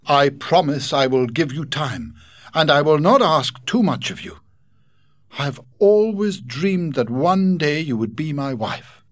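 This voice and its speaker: A man's voice: fairly high pitch, lively intonation, unhurried, voice pushed up. A man doing a gushing lovesick young suitor. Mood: coaxing. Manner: effusive and pleading.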